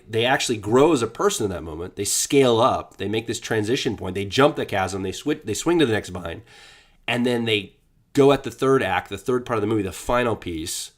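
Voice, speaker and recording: A man co-hosting a podcast, joking casually and then explaining ideas at length, with a slight scratch in his throat. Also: lowish voice. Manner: playful, rambling explainer. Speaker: podcast co-host.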